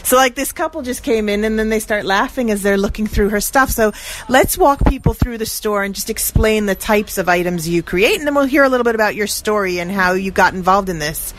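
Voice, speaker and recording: A woman's voice: mid-range voice, fairly quick, slight background noise, rough recording. A woman interviewing a shop owner in her store, chatty and enthusiastic. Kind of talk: casual interview. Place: gift shop.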